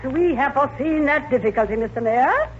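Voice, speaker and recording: Haughty club matron, very high voice, affected formal diction, 1940s radio comedy, muffled recording with faint noise. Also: female voice, animated, a little fast. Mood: triumphant, fluttery. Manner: affected, pompous, formal, indignant. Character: haughty, fluttery society matron and club chairwoman.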